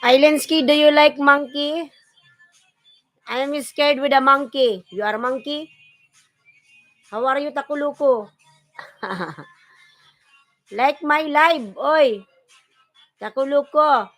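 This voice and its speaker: A woman with a Filipino accent and Tagalog as her first language, hosting a casual livestream and repeatedly calling out names and shout-outs to viewers. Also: high voice, slow pace, long pauses, faint music behind, low-quality recording. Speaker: livestream host. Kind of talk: casual live-stream chat, greeting viewers and reading comments.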